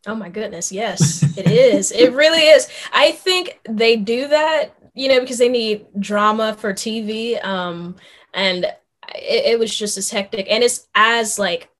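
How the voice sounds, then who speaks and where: A young woman from Memphis, a musician guest telling stories in a relaxed chat, with lots of ums and you knows. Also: fairly high pitch, a little slow. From Memphis, Tennessee, USA. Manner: chatty storyteller with many ums and you knows. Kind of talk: casual interview chat.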